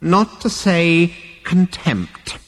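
A man voicing an ancient, scornful ghostly alien great-grandfather from a comic science fiction story, screechy and rasping, snappish and pompous. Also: a little high, sing-song, big-room sound, pitched above the performer's own voice, exaggerated voice. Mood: withering disappointment. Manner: snappish, drawn-out, withering, pompous.

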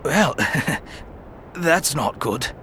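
A man's voice: fairly high pitch, lively intonation, slow pace, fairly bright tone, a little hiss or hum, pitched above the performer's own voice, heavily acted. A man voicing a badly wounded older male warrior-master who speaks weakly with dry humor. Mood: weak wry. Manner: weak, breathy, wry. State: badly injured, weak.

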